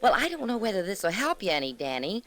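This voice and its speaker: An actress with an American accent playing a sassy, slangy, chatty New York cigar seller in old-time radio style. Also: female voice, mid-range voice, big pitch swings, quick pace, a bit bright. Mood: gossipy. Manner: slangy, teasing.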